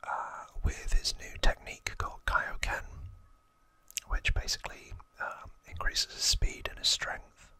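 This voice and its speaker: Slow, bright ASMR whisper. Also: no room sound. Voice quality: breathy unvoiced whisper. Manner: casual, informal explaining. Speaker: ASMR host. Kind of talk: whispered ASMR trivia narration.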